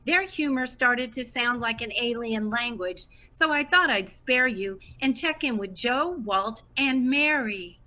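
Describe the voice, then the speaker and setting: A woman's voice: on the high side, animated, a little slow, hardly any pauses, pushed and bright. A woman playing a wry, articulate narrator, reading scripted narration for an audio comedy drama. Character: wry female radio narrator commenting on her characters.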